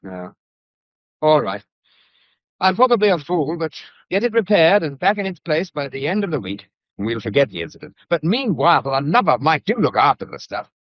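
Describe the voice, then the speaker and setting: An actor with a British accent playing a breezy, blunt, gallant painter in a period radio drama, full of jaunty, slangy, teasing banter. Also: male voice, a little high, big pitch swings, normal speed, no room sound. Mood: brusque, irritated. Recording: dull, muffled lo-fi audio.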